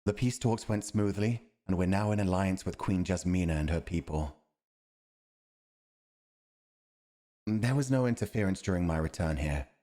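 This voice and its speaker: A man's voice: deep voice, brisk, warm tone, natural voice. A man playing a loyal, principled royal commander in a fantasy audio roleplay, speaking in a grave, formal and dutiful manner. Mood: respectful, grave.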